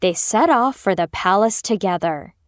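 A woman narrating a fairy tale for children as a storyteller, with expressive delivery. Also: medium pitch, normal speed, no echo, studio quality.

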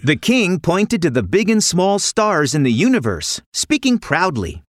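A woman reading a scripted children's fairy tale as an expressive storyteller. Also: lowish voice, unhurried, no echo, clean studio sound. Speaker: narrator.

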